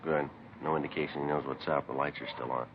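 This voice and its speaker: An actor playing a terse, businesslike police detective, deadpan and matter-of-fact, in a 1950s radio drama. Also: male voice, rumbling low, quick pace, heavy background noise. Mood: terse, controlled. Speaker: lead detective and narrator. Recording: muffled 1950s radio recording.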